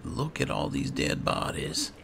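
A man with a gravelly voice giving casual, low-key commentary while playing a fantasy game, musing aloud as he goes. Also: big pitch swings, unhurried, background noise. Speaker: gaming host. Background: game ambience.

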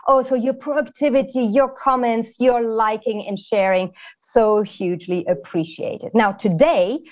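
A woman's voice: on the high side, steady tone, measured pace, darkish tone. Bubbly woman hosting an interview. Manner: bubbly, laughs often. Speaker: host. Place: plain-walled indoor room.

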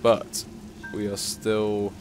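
A man's voice: on the low side, monotone, extremely slow. A man casually commentating his gameplay, chatty and self-mocking, swearing at himself when stuck. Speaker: let's play commentator. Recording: game music playing behind.

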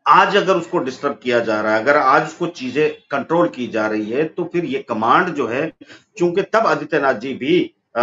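A middle-aged man arguing politics on a video call from an office, forceful and rising to emphatic peaks. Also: medium pitch. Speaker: political commentator. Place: office room, indoors. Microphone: video call webcam. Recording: dull lo-fi call audio with faint noise.

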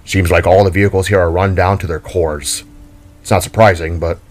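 A man voicing a measured, matter-of-fact male robot-like scout in a narrated story. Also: low-pitched, steady tone. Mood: resigned.

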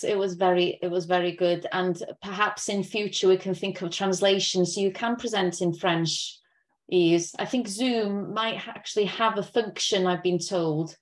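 Young woman wrapping up a zoom session, chatty, laptop mic. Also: lowish voice. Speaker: event host. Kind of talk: closing remarks on a video call.

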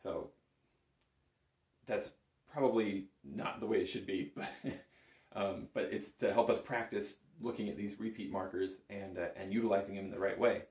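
A man's voice: fairly low pitch, fairly even intonation, normal speed, darkish tone. A man giving a casual, step-by-step music lesson as an instructor, counting beats and checking in as he goes. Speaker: bagpipe teacher. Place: small indoor room full of instruments. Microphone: camera a short distance in front. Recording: roomy echo from camera mic.

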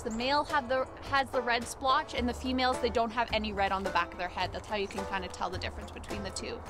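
Higher-voiced young woman, nature guide. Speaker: community program coordinator, nature guide. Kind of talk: informal educational explanation to camera. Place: outdoors in snowy woods.

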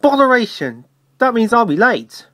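A man's voice: very high-pitched, big pitch swings, normal speed, a bit bright, dry recording, clean studio sound, pitched above the performer's own voice, heavily acted. A man voicing a fussy, boastful storybook steam engine who blusters impatiently and gets easily flustered.

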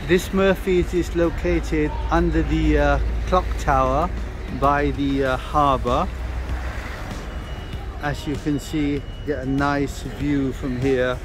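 A man hosting a casual travel vlog, talking in a relaxed way with frequent hesitations, interviewing a restaurant owner and showing the menu. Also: a little high, slow, music in the background. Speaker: vlogger and interviewer.

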